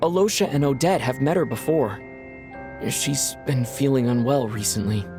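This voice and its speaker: An actor playing a reflective young male traveler in a video game, speaking quietly and introspectively. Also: mid-range voice, normal speed, music in the background, natural voice. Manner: quiet, introspective, even-toned.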